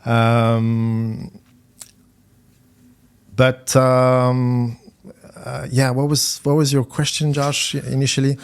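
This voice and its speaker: A middle-aged man from France, a finance executive speaking as a podcast guest, talking haltingly with many repeated words and fillers. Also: medium pitch, little pitch movement, smooth. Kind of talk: conversational podcast interview.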